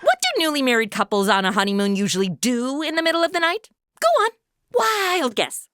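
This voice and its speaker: Bossy modern gal, played by an actress: high, lively voice, blunt slangy sarcasm, comic radio drama. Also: female voice, brisk, no echo, clean studio sound. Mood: sarcastic, blunt. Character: brash, bossy, sarcastic modern young woman. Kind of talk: comedic radio drama acting.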